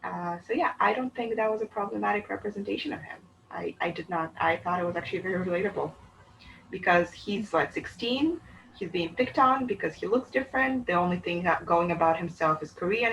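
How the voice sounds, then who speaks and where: A woman from Bulgaria, co-hosting a casual book chat, talking in an animated, rambling way that often breaks off and restarts. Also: fairly low pitch, slight background noise, rough recording. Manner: chatty, animated, self-interrupting. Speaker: book channel co-host.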